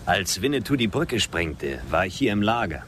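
An actor playing a wistful former poet and cabaret singer in a German radio drama, measured, reflective and gently ironic. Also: male voice, on the low side, very animated, noisy background. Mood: courteous.

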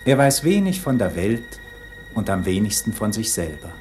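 An actor as a grave, philosophical prologue speaker in a radio play, declaiming in measured phrases. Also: male voice, lowish voice, very animated, music in the background. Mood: solemn.

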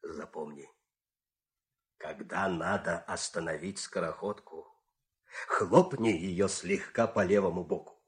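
An actor performing in an audio fairy-tale play as an expressive, theatrical storyteller and coaxing farmer husband. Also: male voice, low-pitched, takes it slowly, pauses often. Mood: hushed, confiding.

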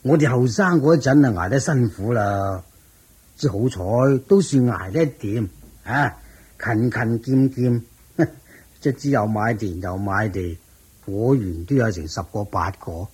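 An actor playing a wealthy old merchant and landlord in a Cantonese radio drama, with a drawn-out delivery of chuckles and sighs. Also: male voice, lowish voice, a little mellow, husky. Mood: pleased, proud.

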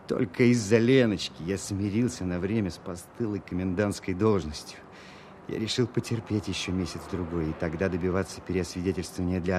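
An actor playing a proud young army captain and war veteran, lovestruck and resentful, in a measured, expressive audio drama performance. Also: male voice, deep voice, background noise, natural voice. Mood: wistful, confiding. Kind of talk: Soviet-style audio drama, first-person narration and dialogue.

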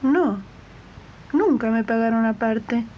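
Muffled, high-voiced Argentine maid, acted by a woman. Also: takes it slowly, dark tone. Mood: doubtful, agreeable. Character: puzzled, accepting live-in maid, the heroine's friend. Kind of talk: radio drama dialogue. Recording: dull, noisy late-1980s radio recording.

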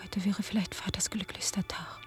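An actress playing an ashamed, pleading middle-aged daughter in a radio drama, confessing in a hushed voice. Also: female voice, mid-range voice, fairly even intonation, normal speed, whispered, faint music behind, close to an everyday voice. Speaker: the collector's daughter.

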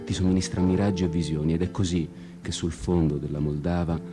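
An actor playing a disenchanted solitary traveller, narrating a literary radio-theatre monologue in a measured, introspective and ironic way, with guitar behind him. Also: male voice, low-pitched, steady tone, natural voice. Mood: reflective. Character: disenchanted male traveller wandering a foreign city alone.

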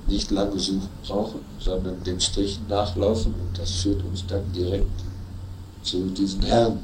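Male interviewee, lowish voice, colloquial and repetitive storyteller, captured on a roomy archive recording. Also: little pitch movement, a little slow, background noise. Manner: colloquial, repeats words, vivid storytelling. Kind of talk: casual spoken recollection.

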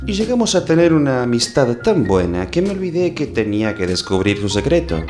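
A man's voice: mid-range voice, sing-song, brisk, darkish tone, faint music behind, close to an everyday voice. A man with an Argentine accent playing a hapless, wry detective who narrates his own case in a comedy radio play. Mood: wry narrating. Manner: wry, swinging from narrator to exasperated.

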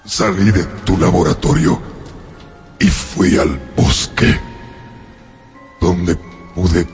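An actor playing a lonely, sorrowful monster who tells his story in a brooding, halting way for a radio drama. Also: deep voice, steady tone, faint music behind.

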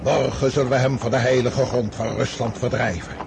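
Radio drama commander-in-chief, male actor, proud and stubborn, haughty deliberate delivery, mid-pitched, heavy battlefield noise behind. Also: fairly even intonation, gravelly, natural voice. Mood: solemn resolve.

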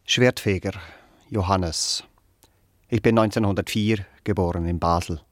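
An actor playing a self-important, evasive educated musician in a radio drama, pedantic and hesitant. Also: male voice, deep voice, measured pace, no room sound, studio quality. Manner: pedantic, hesitant, long-winded. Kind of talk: naturalistic radio drama dialogue.

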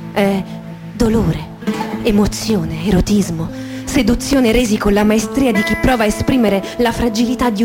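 A woman with a mid-pitched voice performs a literary monologue for radio theatre. Her delivery is intimate and poetic, shaped by deliberate pauses. Music plays clearly behind her words. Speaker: stage actress reading on radio theatre. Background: music with guitar and sound design.

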